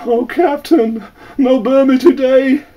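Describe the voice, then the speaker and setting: A man voicing a terrified male servant who begs for mercy in broken speech with a shrill, pleading wail. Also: fairly even intonation, slow pace, dark tone, recorded from a distance. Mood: begging, shrieking.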